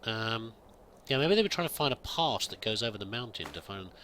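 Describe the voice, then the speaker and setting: A man's voice: lowish voice, animated, brisk, fairly bright tone, a little hiss or hum. A man thinking aloud while roleplaying a pilgrim in a video game, musing and hesitant with many restarts. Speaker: let's play gamer roleplaying a pilgrim. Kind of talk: in-character gameplay commentary.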